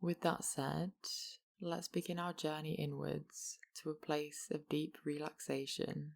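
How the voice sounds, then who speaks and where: A meditation guide gently and slowly leading a yoga relaxation practice, leaving long pauses between instructions. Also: medium pitch, creaky.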